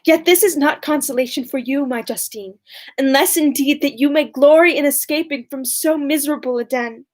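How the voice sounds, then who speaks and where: A woman reading aloud as an anguished, indignant young gentlewoman, in an impassioned, declamatory way. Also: high-pitched, normal speed, lightly acted. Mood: bitter.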